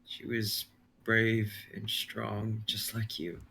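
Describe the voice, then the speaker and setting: A man in a live dramatic reading playing a shaken father, speaking slowly. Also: on the low side, completely flat delivery, gravelly, sharp tone, a little hiss or hum. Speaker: actor in a reading.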